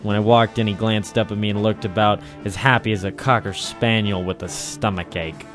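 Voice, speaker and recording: Low-voiced sardonic hard-boiled noir tough guy, played by a man. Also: fairly quick, faint music behind, lightly acted. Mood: deadpan, world-weary. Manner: deadpan, sardonic, terse. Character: cynical hard-boiled waterfront private operator, male, wisecracking. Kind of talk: 1940s radio noir first-person narration and dialogue. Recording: 1940s radio broadcast recording.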